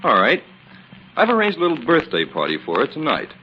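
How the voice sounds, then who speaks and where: An actor playing a sceptical, courteous estate lawyer in the manner of 1940s radio. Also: male voice, a little high, huge pitch swings, a little fast. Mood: affable. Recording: dull, hissy old radio recording.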